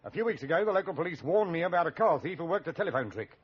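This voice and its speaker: A man's voice: on the high side, big pitch swings, racing. A man acting as a plain-spoken, urgent garage owner in an old radio crime drama. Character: businesslike male garage owner reporting to police. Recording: muffled vintage radio recording.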